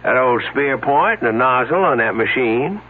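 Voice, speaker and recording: A man's voice: mid-range voice, animated, moderate pace, natural voice. An actor playing a plain-spoken small-town male sheriff in a radio mystery, talking in a folksy, matter-of-fact way. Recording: tinny old radio broadcast.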